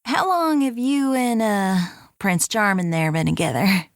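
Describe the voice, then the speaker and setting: A woman's voice: mid-range voice, unhurried, no room sound, close to an everyday voice. A woman playing a blunt, protective spacefaring friend in an audio drama, frank and casually teasing. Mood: friendly, probing.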